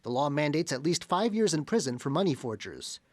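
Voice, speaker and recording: Lively male news reporter voice-over. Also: a little high, brisk.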